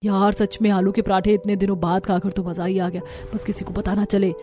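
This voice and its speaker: A woman's voice: medium pitch, level tone, a little fast, warm tone, voice pushed down, lightly acted. A woman voicing a sneaky young daughter-in-law in a family cartoon, chatty and conspiratorial. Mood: gleeful, conspiratorial. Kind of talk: Hindi family cartoon dialogue.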